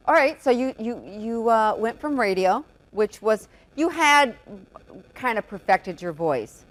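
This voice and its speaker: A woman interviewing a podcaster, chatty and loud-voiced, often interjecting and restating ideas. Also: on the high side, expressive, unhurried. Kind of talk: conversational interview.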